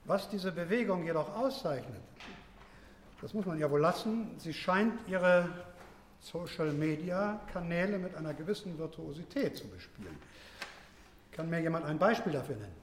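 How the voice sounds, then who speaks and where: A man plays a dry, dismissive lecturer with a fairly high male voice. He picks his words pedantically in a reverberant seminar room, with faint noise around him. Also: expressive, normal speed, natural voice. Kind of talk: seminar lecturing in radio drama.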